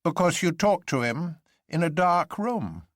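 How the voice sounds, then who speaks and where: Man playing a wry gentleman, candid, dryly polite, lively swooping intonation, storybook dialogue, close dry sound. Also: fairly high pitch, measured pace, no room sound, pitched above the performer's own voice.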